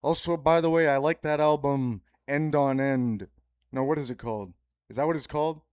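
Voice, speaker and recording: A man's voice: a little high, steady tone, normal speed, darkish tone, smooth, no room sound. Man rambling grandly, dry close sound. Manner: grandiose, pseudo-philosophical preaching with sudden profanity; repetitive, circling phrasing. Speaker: podcast host. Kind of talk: rambling solo podcast monologue.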